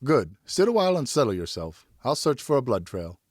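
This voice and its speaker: A steady, seasoned hunting father, acted by a male narrator in an audiobook. He is encouraging and instructive, his pitch lifted above a storyteller's tone. The sound is dry. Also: fairly quick, no room sound. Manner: reassuring, instructive. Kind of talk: audiobook character acting.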